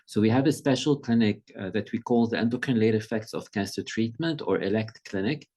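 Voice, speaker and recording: A middle-aged man from Lebanon speaks over a video call, presenting as a pediatric endocrinologist. His voice sits a little low, and his delivery is conversational and measured. He often pauses to gather his thoughts mid-sentence. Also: dark tone. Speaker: pediatric endocrinologist giving a talk. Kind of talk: informal presentation.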